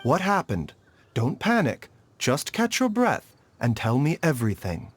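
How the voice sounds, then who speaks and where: A man playing a gentle, courteous doctor of herbal medicine in a video game, refined and polite in manner. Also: mid-range voice, huge pitch swings, a little slow, over music. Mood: calm, reassuring. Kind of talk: video game character acting.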